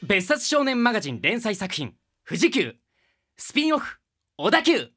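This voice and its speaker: Teenage boy student, radio comedy drama, very animated intonation, slightly husky, exasperated, faint music behind. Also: sits high, normal speed, heavily acted. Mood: narrating. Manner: exasperated, emphatic retorts. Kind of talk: Japanese radio comedy drama acting and narration.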